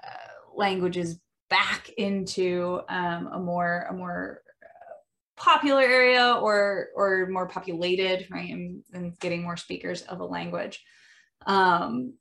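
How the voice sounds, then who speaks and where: A woman with a Midwestern American accent hosting an educational monologue, thoughtful and often pausing with ums and restarts. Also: fairly low pitch, a bit bright. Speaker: podcast host.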